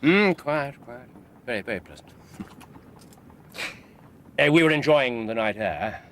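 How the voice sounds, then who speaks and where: An actor playing a keen, courteous, self-assured gentleman detective with an English RP accent in a radio drama, precise and theatrical. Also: mid-range voice, expressive, measured pace, slight background noise. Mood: flustered, polite. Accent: English, Received Pronunciation. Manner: precise, incisive, theatrical, murmured asides.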